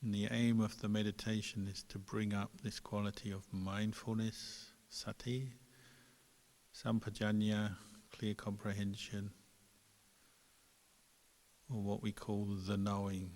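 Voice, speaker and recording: Male meditation guide, lowish and very level, calm and unhurried, in long hall reverb. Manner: calm, unhurried, gentle pauses between phrases. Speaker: Buddhist monk, meditation teacher.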